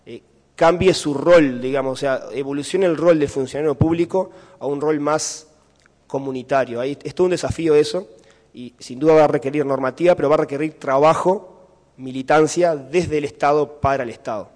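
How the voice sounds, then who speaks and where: A man's voice: fairly high pitch, a little fast, darkish tone. Uruguayan man lecturing in a reverberant hall. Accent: Uruguayan Spanish. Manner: explanatory, frequent fillers and rhetorical checks. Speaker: conference speaker. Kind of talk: conference talk and Q&A answer. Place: conference hall.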